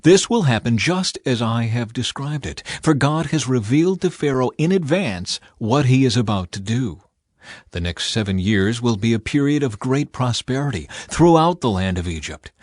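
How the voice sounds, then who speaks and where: A man narrates scripture in the manner of an audiobook. His mid-pitched voice moves through the text in measured, clearly phrased lines with expression. The recording is dry, with hardly any room sound. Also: no room sound.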